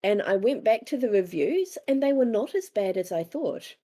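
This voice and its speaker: A woman's voice: on the high side, sing-song, normal speed, warm tone. A woman with a New Zealand accent talking casually as an interviewed author, candid and self-deprecating, acting out bits of dialogue as she tells stories.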